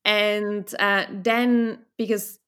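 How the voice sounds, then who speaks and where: A woman's voice: medium pitch, level tone, very slow, a bit bright, smooth. Czech-born woman in her thirties, telling stories. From Czech Republic. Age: 30s. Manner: thoughtful, storytelling, with restarts. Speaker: guest. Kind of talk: casual interview conversation.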